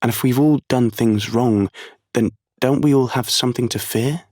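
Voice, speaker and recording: An actor playing a troubled, resigned young man, speaking haltingly in an audio play monologue. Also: mid-range voice, a little mellow, no echo, studio quality, lightly acted. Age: young adult. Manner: hesitant, halting.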